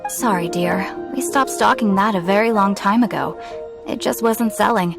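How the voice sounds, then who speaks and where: A woman's voice: mid-range voice, normal speed, creaky, over music, close to an everyday voice. An actress playing a matter-of-fact, kindly frank female bookseller in a video game. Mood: frank, helpful. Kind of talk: English video game voice acting.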